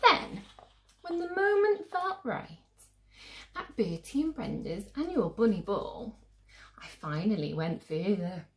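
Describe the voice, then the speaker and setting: A woman reading a picture book aloud to children with theatrical, drawn-out emphasis. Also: mid-range voice, very animated, reverberant. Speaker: children's story reader.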